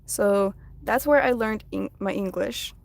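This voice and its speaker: Soft-spoken woman chatting on a stream. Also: medium pitch, a little slow, creaky, dry recording. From United States. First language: Russian. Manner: soft-spoken, chatty, reads viewer comments aloud. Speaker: live streamer. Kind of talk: casual live-stream chat while drawing. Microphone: phone.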